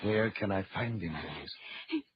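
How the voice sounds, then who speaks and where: An actor playing a confident, shrewd magician-detective hero in an old-time radio serial, speaking with assured command. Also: male voice, lowish voice, lively intonation, a little slow, a little hiss or hum. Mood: concerned. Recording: muffled old radio recording.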